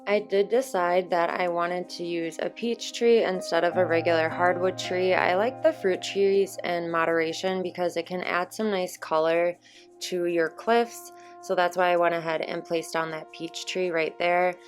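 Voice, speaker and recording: Young female host, slightly hoarse, relaxed chatty voice-over, low-ish pitch. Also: moderate pace. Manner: relaxed, chatty explaining with fillers. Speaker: gaming channel host. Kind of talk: casual gameplay voice-over. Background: soft background music.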